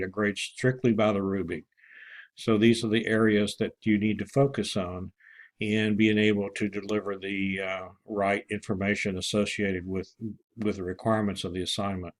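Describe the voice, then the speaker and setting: Low-voiced man, halting online instructor. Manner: halting, with many pauses and throat clearing. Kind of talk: informal screen-share walkthrough for students.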